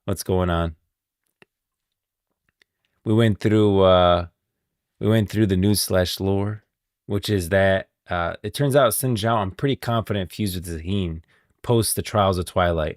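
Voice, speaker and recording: A man's voice: low-pitched, no echo, studio quality. A man streaming casual game lore commentary, thinking aloud in an informal way. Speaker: live streamer.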